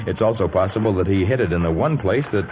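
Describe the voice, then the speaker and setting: An actor playing a calm, reasonable jungle hero in a 1950s radio drama, speaking in measured, formal sentences. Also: male voice, low-pitched, lightly acted. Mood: reflective. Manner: measured, thoughtful, formal diction. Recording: dull old radio recording, music behind.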